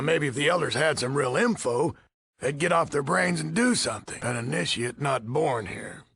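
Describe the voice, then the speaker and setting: An actor playing a gruff, weary older leader of a military order in a video game, with an American accent, a raspy voice and blunt, folksy grumbling. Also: male voice, a little high, fairly bright tone, no room sound, exaggerated voice. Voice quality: gravelly, raspy. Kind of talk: video game character dialogue.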